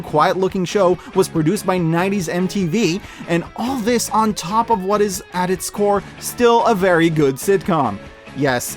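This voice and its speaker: A man giving a casual, scripted video review, speaking emphatically with sarcastic asides. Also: sits high.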